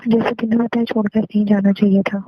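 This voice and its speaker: A woman's voice: medium pitch, level tone, brisk, no room sound. A young Indian woman in an emotional phone conversation with an ex, pleading and apologetic. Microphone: phone call. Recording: muffled lo-fi phone call recording.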